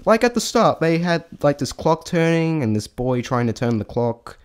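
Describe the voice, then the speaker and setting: A man's voice: on the high side, big pitch swings, normal speed, clean tone. A man giving an unscripted spoken review in a rambling, hesitant way, often stammering and breaking off mid-thought. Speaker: amateur reviewer sharing opinions.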